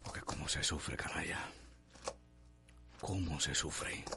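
A man's voice: extremely low pitch, steady tone, slow, full character voice. An actor playing a melancholy, lovelorn man confiding in his horse in a radio soap, with a Cuban accent. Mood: wistful, tender. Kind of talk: radio soap opera acting. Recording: loud music and horse sound effects.

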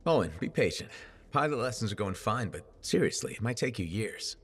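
A man voicing a steady, dry-humored mercenary pilot in a video game, husky and understated. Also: lowish voice, a little fast. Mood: easygoing, reassuring.

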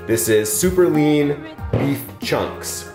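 A young man talks straight to his viewers in an upbeat, chatty way while cooking at home. His voice bounces around a roomy kitchen, and clear background music runs beneath it. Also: on the high side, lively intonation, slow pace. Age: young adult. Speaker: fitness vlogger, host. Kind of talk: casual cooking demo to camera.

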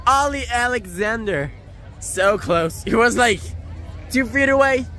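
A hoarse young man talks excitedly into a phone held at arm's length as he walks outdoors through the city at night. His voice sits high and bright, and faint crowd noise and music drift behind him. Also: unhurried. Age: young adult. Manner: gushing, excitable. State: hoarse after a concert. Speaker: vlogger. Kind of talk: casual vlog talk to camera.

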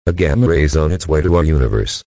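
A man with a husky voice playing an observer reporting a cosmic disaster in stilted amateur-drama dialogue. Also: extremely low pitch, animated, fast talker, a little mellow, no echo. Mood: alarmed.

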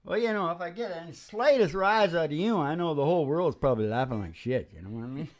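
A man's voice: on the high side, expressive, quick pace. An older man hosting a music show, chatting casually and telling rambling, jokey stories. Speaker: musician and radio show host. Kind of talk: casual joking banter between songs. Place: small wood-panelled room. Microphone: stand microphone up close. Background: acoustic guitar.

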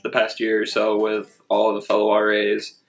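A young man from the Syracuse area, a college resident assistant, answering interview questions in a relaxed way. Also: lowish voice, moderate pace, faint music behind. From Syracuse area, New York. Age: young adult. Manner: relaxed, a few "uh" fillers. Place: indoors before a plain grey backdrop.

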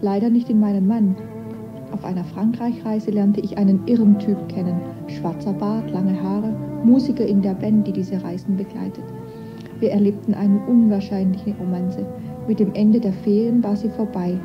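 A woman's voice: mid-range voice, fairly even intonation, normal speed, lightly acted. An actress playing a young married woman in a satirical radio play, confessing a romance in a matter-of-fact way. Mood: confessional. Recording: dull old tape, music behind.

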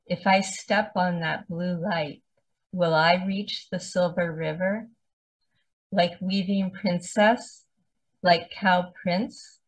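A woman's voice: lowish voice, far from the mic, rough recording. A woman reciting a poem, reading it aloud line by line. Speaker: reader of another poet's poem. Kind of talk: poetry recitation.

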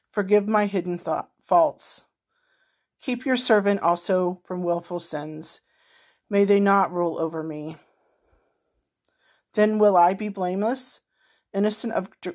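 A middle-aged woman with a slightly low voice reads scripture aloud for a worship gathering. She is indoors at home, speaking into a phone at arm's length. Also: darkish tone. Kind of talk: reading a psalm aloud. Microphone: phone held at arm's length.

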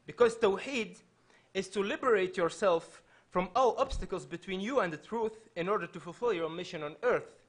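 A man in his thirties, raised in Belgium with Dutch as his first language, giving an earnest, measured lecture from a panel table in a hall, often restarting his phrases. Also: high-pitched. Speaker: panelist speaker. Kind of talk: public lecture. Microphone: table microphone.

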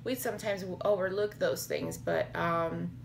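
A woman in her thirties talking to the camera in a casual home vlog, slightly hoarse and raspy, with many fillers and restarts. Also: lowish voice, fairly even intonation, unhurried, a little hiss or hum. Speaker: health vlogger. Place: small home office with bookshelves, indoors. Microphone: webcam or laptop camera at desk.

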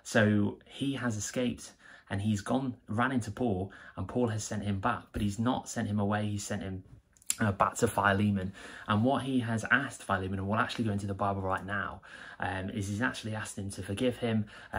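A man's voice: lowish voice, fairly even intonation, fairly quick, slightly rough. A young man gives an earnest, enthusiastic devotional talk to camera in a small plain room. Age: young adult. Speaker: church member giving a devotional.

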